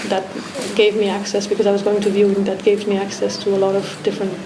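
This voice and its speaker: A woman's voice: medium pitch, monotone, fast talker. Woman from Romania in an echoey room. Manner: reflective, loose, many restarts and fillers. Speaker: artist guest. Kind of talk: talk discussion. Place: art academy pavilion. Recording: echoey room with background noise.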